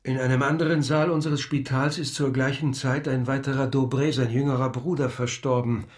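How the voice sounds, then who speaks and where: A man's voice: mid-range voice, level tone, brisk, natural voice. A man playing a careful hospital physician in a radio crime drama, speaking in a formal, clinical manner. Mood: sober, clinical. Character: matter-of-fact, careful male hospital physician.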